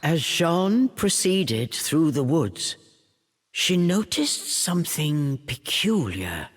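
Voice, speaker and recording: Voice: mid-range voice, big pitch swings, takes it slowly, breathy edge. A narrator reading scripted storybook narration for a video game.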